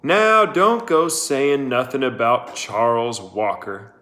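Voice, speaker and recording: A man voicing a drunken, menacing small-town sheriff in a storybook, leering in a slow drawl. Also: medium pitch, bright, forceful tone. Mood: lazy, dismissive.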